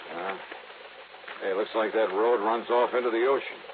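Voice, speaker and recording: Actor as wry hardboiled insurance investigator, muffled old radio. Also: male voice, fairly low pitch, fairly even intonation, moderate pace, lightly acted. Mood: wry, probing. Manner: clipped, wry, matter-of-fact. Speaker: radio drama lead actor and first-person narrator. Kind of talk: 1950s hardboiled radio drama acting. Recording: muffled noisy 1950s radio recording.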